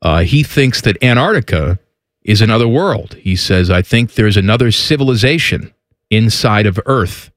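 A man's voice: on the low side, animated, moderate pace, dry recording. A man hosting a casual sports talk show, mocking and sarcastic in tone.

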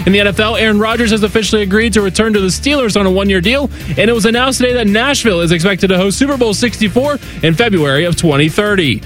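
A man reading a scripted radio sports update and sponsor ad in a brisk announcer manner. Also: high voice, fairly bright tone, faint music behind. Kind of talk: scripted news read and ad.

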